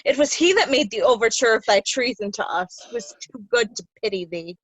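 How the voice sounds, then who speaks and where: A woman's voice: fairly high pitch, lively intonation, fairly quick, dry recording, low-quality recording. A woman playing a vicious, haughty noblewoman in a readers' theatre reading of Shakespeare. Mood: scornful. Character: vicious, haughty noblewoman Regan, cold and scornful.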